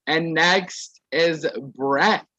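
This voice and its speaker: A man's voice: a little high, very slow, sharp tone, a little hiss or hum. A man hosting an online game over a video call, introducing players one by one with a playful, sassy manner. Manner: playful, sassy catchphrase "period". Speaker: host of an online reality game.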